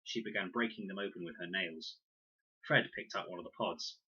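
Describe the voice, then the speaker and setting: A man's voice: low voice, moderate pace, bright, forceful tone, breathy edge. A man narrating a children's adventure audiobook expressively, on a dull, lo-fi recording. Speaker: reader, narrator.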